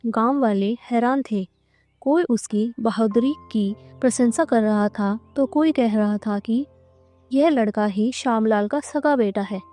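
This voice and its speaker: A woman's voice: a little high, unhurried, warm tone, faint music behind. A woman narrating a scripted cartoon moral story as its storyteller.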